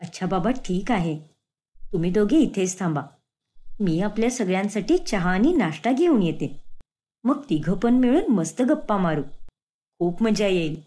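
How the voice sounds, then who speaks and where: A woman's voice: mid-range voice, lively intonation, normal speed, dark tone, lightly acted. A woman playing a sly young wife. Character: sly young wife, sharp-witted and watchful.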